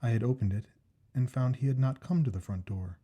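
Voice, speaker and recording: Male narrator for a classic ghost story: dark, husky tone, mid pitch, measured and expressive, deliberate phrasing. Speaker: story reader.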